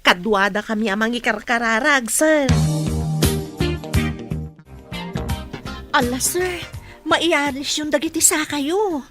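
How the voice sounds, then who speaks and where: A woman's voice: high-pitched, measured pace, over loud music. A woman with an Ilocano accent playing a deferential, caring household aide in an audio drama. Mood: warm, respectful. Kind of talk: radio-style Filipino drama dialogue.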